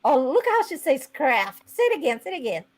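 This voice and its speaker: A woman's voice: high voice, very animated, a little fast. A woman with a Brazilian accent chatting casually and playfully on a video call. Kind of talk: casual chat.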